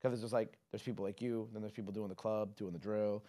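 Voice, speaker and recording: A young man hosting a podcast, chatty and animated, asking questions into a studio mic. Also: fairly low pitch, fast talker. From New Jersey. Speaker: podcast host. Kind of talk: casual interview chat. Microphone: studio mic on boom arm.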